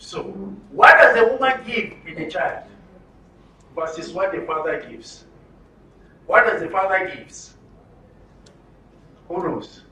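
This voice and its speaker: A man's voice: high voice, animated, slow, pauses often, sharp tone. A man with a Nigerian accent giving an interactive lecture to an audience, emphatic and often putting questions to the listeners. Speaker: speaker at a training session. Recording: roomy, distant mic with background noise.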